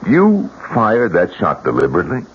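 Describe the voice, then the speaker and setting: Husky, deep-voiced combative sceptic. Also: male voice, wildly swooping pitch, moderate pace, exaggerated voice. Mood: brusque, questioning. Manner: challenging, sarcastic. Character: sceptical, combative bereaved husband demanding answers. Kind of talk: 1970s radio drama acting. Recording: muffled 1970s radio recording.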